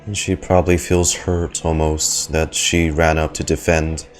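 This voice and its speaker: A man with a very deep voice reacts to a game in a slow, relaxed drawl, tossing out playful asides. Game music plays quietly in the background. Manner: laid-back, playful asides. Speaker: reaction video host. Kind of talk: casual reaction commentary. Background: game audio and music.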